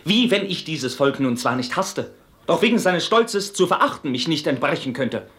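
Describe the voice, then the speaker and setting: An actor playing a proud, impassioned young Knight Templar, brusque but honourable, in fervent, formal 1950s radio-play declamation. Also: male voice, a little high, sing-song, normal speed, a bit bright. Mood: scornful, fervent.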